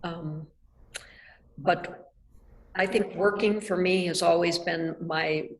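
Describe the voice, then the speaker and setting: An older woman from Nebraska, answering questions in a reflective, measured way over a video call. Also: lowish voice, fairly even intonation, poor audio. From Nebraska, United States. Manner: reflective, measured, with soft laughs. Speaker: artist guest. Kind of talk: thoughtful conversational answers. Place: art gallery, indoors. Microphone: video call webcam.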